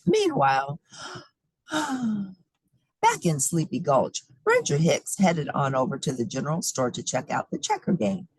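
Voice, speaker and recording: A woman's voice: low-pitched, sing-song, measured pace, darkish tone, breathy edge, dry recording, poor audio. A woman reading a children's picture book aloud with expression and playful asides. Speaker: storybook reader.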